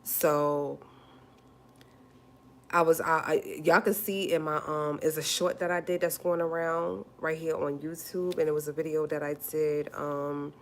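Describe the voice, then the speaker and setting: A forty-year-old woman talks straight to her livestream viewers. Her voice sits very low, and she hammers her points home by repeating them. She calls listeners honey as she goes. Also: steady tone, measured pace. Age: 40s. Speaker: livestream host. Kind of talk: casual livestream talk.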